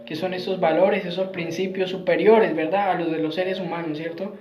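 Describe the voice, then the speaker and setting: A man's voice: sits high, steady tone, moderate pace. A man preaches an earnest Bible lesson, circling back over his phrases and checking in with tag questions. His voice sits in a roomy space with a noticeable echo. The recording is dull and lo-fi, with a faint hiss underneath. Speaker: Messianic preacher. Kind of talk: Bible teaching sermon.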